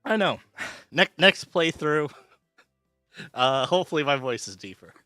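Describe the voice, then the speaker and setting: Co-host, bright-toned, chatty and giggly, sore throat, dry close mic. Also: male voice, fairly high pitch, animated, slow, no echo, soft background music. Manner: bubbly, laughs often, rambling. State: sore throat from a strained vocal cord. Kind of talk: casual podcast chat.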